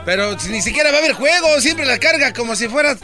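A man's voice: very high voice, expressive, fast talker, pushed and bright, faint music behind. A man co-hosting a radio comedy bit, mocking and teasing his partner.

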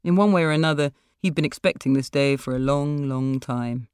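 A woman's voice: low-pitched, a little fast, a little mellow, smooth, no echo. A woman author reading a short story aloud as literary narration.

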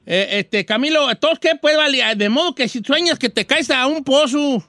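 A man's voice: very high-pitched, normal speed, bright, forceful tone, no echo, clean studio sound. A man voicing a folksy older Mexican country character as a radio host, with slangy, teasing, stammering banter and a Mexican accent. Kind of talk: casual radio banter with callers.

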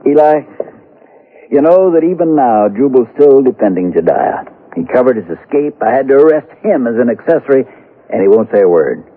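A man's voice: mid-range voice, big pitch swings, normal speed. An actor playing a sober, troubled western lawman in a 1970s radio drama, speaking in a plain, probing way. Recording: muffled vintage radio broadcast recording.

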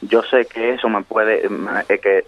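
A man from New York with a Dominican accent, speaking haltingly and pleadingly in an unscripted phone conversation. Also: lowish voice, steady tone, dry recording. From Queens, New York, of Dominican parents. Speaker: prank call victim, security worker. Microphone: telephone. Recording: dry, dull phone call.

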